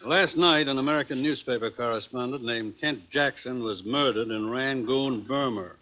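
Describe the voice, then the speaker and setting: An actor playing a brisk, authoritative commissioner in a 1950s radio drama. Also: male voice, mid-range voice, sharp tone, lightly acted, rough recording. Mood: businesslike. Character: brisk businesslike male commissioner briefing an agent.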